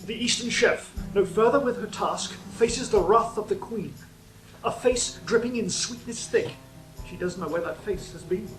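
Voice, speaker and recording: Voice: medium pitch, normal speed, faint music behind, lightly acted. An actor playing a royal poet in a comic radio play, theatrical and flowery, pleading and scheming. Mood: poetic, ominous. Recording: roomy echoing sound.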